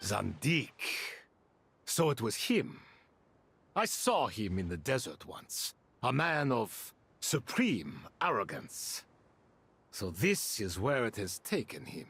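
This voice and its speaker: A man voicing a grave, brooding warrior in a video game, with a husky, gravelly voice. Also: lowish voice, huge pitch swings, takes it slowly, a bit bright, full character voice.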